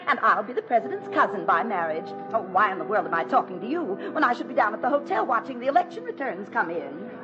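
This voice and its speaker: An actress playing an excitable, gushing, gossipy small-town lady in a 1940s radio drama. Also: mid-range voice, quick pace, few pauses, a crowd in the background, lightly acted. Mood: excited, gossipy. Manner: gushing, exclamatory. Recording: dull 1940s radio recording with music.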